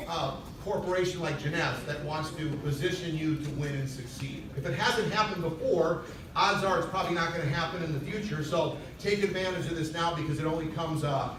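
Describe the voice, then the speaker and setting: A man's voice: on the high side, a little fast. A man giving a conversational, urging motivational talk as a speaker on stage at a sales convention. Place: large event hall on stage. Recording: echoey hall, distant mic, noisy.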